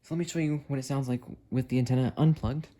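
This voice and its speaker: A man's voice: medium pitch, fairly even intonation, quick pace, warm tone. A man giving a casual, unscripted demonstration of radio gear as a hobbyist vlogger, with an enthusiastic manner.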